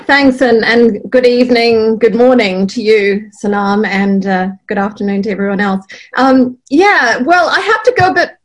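A woman's voice: fairly high pitch, moderate pace, poor audio. A middle-aged woman from New Zealand, an academic panelist speaking reflectively in a panel discussion. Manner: reflective, explanatory, with fillers. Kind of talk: panel discussion over video call.

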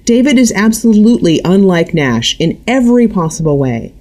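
A woman's voice: medium pitch, big pitch swings, normal speed, a little mellow, voice pushed up. Woman reading as a tense, defensive grown daughter. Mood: firm. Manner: clipped, defensive, strained.

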